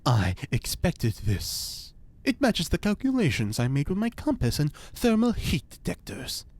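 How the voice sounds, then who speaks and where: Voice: medium pitch, huge pitch swings, unhurried, darkish tone, no room sound, exaggerated voice. Pompous, swooping eccentric mad scientist, acted out. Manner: grandiose, pedantic, theatrical. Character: eccentric pompous male mad scientist, ex-cult leader performing rituals. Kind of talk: audio fiction podcast acting.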